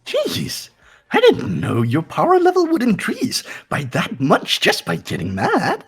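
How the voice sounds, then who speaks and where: A man's voice: sits high, huge pitch swings, unhurried, breathy edge, full character voice. A man voicing a gleefully taunting villainous toy bear mascot in a fan-voiced game, mocking and theatrical. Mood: mocking glee. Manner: mocking, theatrical, sing-song.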